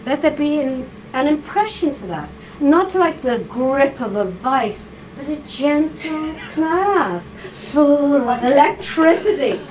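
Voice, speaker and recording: Woman reading real slow at a live salon, kinda high voice, jokey and coaxing, noisy room. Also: animated, darkish tone. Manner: playful, laughing, coaxing. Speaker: reader at a writers' salon. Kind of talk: reading aloud and directing volunteers. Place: live event room with audience.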